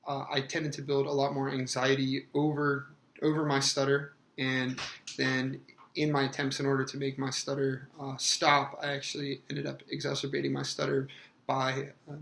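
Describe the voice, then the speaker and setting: A man in his thirties answering interview questions hesitantly, with many fillers and pauses. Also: mid-range voice, gravelly, fairly bright tone, echoey room, a little hiss or hum. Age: 30s.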